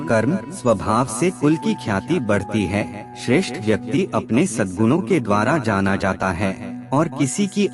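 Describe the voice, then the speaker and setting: A man narrating scripted aphorisms in a measured, deliberate recitation. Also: mid-range voice.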